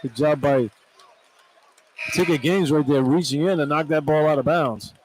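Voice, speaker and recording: Male color analyst, slightly high voice, live basketball radio, conversational, opinionated, plain-spoken. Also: moderate pace, noisy background. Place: indoor basketball arena.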